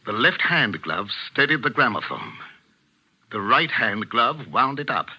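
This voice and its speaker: Forceful, grave English village vicar. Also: male voice, mid-range voice, sing-song, slightly rough, highs cut off, heavily acted. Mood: grave, intense. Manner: measured, commanding, earnest. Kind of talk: 1940s radio mystery acting.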